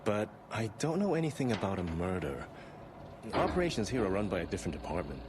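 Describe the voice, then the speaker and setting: An actor playing a polite, officious government repair technician, formal and guarded, in a video game. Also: male voice, lowish voice, sing-song, fast, noisy background. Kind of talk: video game character acting.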